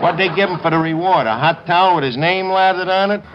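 A man's voice: high-pitched, fast, over a noisy crowd, natural voice. An actor playing a sardonic, slangy tavern manager who mangles his grammar in a 1950s radio comedy. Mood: sarcastic. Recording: noisy muffled 1950s radio broadcast.

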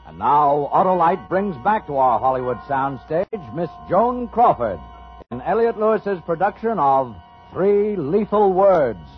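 A man announcing and pitching on a 1950s radio show with an emphatic, polished sales delivery. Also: sing-song, unhurried. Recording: tinny old radio broadcast, music behind.

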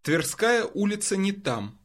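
A man voices the learner's replies in a language course, repeating each answer carefully. His voice is fairly high for a man and moves expressively across the phrases. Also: big pitch swings. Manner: careful, measured repetition. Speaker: model speaker in language drills. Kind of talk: repeated drill responses.

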